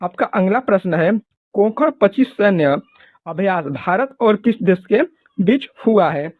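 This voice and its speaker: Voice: mid-range voice, animated, unhurried, dry recording. A quiz presenter reading multiple-choice exam questions and answers in a formulaic, repetitive way. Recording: muffled phone-like audio.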